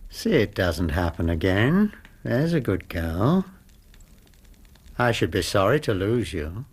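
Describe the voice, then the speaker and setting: An actor playing a menacing, coldly polite English blackmailer in a radio drama, formal and smoothly condescending. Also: male voice, lowish voice, big pitch swings, slow, exaggerated voice. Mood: condescending menace. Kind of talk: British radio drama acting.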